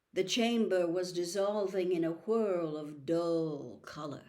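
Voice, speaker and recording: A woman reading a storybook aloud as dramatic, suspenseful narration with deliberate, theatrical phrasing. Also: fairly low pitch, a little mellow. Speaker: audiobook reader.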